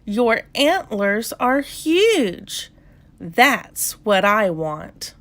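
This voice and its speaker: Woman acting out a young storybook goat kid, female, wistful, eager, childlike, expressive, slow pace. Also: a little high, heavily acted. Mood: awestruck.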